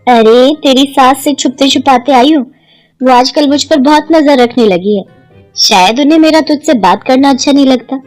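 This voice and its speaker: A woman voicing a gossipy, meddling young neighbour who flatters slyly in a chatty, insinuating way. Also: high-pitched, normal speed, faint music behind, lightly acted, low-quality recording. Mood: conspiratorial.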